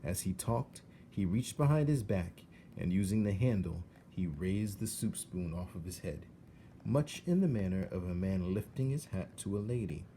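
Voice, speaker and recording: A man narrating a children's storybook in an expressive read-aloud style. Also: low-pitched, moderate pace, a little hiss or hum.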